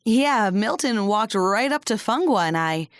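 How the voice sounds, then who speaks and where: A woman acting a perky, lively young sidekick. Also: medium pitch, a little slow, no echo, clean studio sound, lightly acted. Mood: curious. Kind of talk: audio drama acting.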